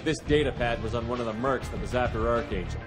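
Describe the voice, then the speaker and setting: A man playing a steady, direct military commander in a video game, speaking in a terse, earnest way. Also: mid-range voice, fast, over loud music, lightly acted. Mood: direct, firm. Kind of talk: dramatic video game acting.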